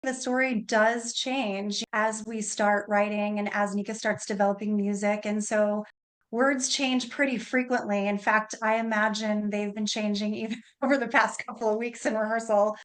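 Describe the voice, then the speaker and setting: A woman's voice: medium pitch, flat delivery, moderate pace. A woman speaking as a guest in a conversational radio interview, earnest and enthusiastic about her work. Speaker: interview guest, opera librettist and singer.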